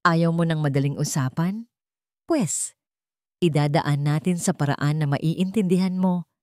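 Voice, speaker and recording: A woman's voice: low-pitched, measured pace, darkish tone, dry recording. A woman voicing a cold, contemptuous rich old man in a radio drama, with a dry, commanding, sarcastic delivery. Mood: angry commanding. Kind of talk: Tagalog radio drama storytelling.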